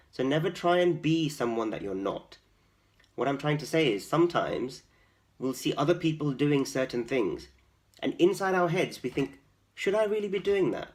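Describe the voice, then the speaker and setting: Guy doing kids storytime, really animated pitch, unhurried, explains stuff and asks questions. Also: gravelly. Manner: explanatory, addressing children with questions. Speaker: storytime host and reader. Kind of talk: expressive read-aloud for young children.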